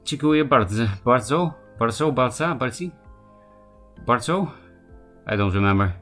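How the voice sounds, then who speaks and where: A man's voice: mid-range voice, lively intonation, a bit bright, faint music behind. A man with a Polish accent streaming a card game live, chatting casually and answering viewers by name. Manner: chatty, answers chat by name, quick asides. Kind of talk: casual live-stream chat while playing.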